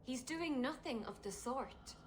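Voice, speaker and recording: An actress playing a reserved, protective clan spokeswoman in a fantasy video game, speaking in a measured, formal, guarded manner. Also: high voice, animated, background noise. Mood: guarded, cool. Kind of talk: video game character acting.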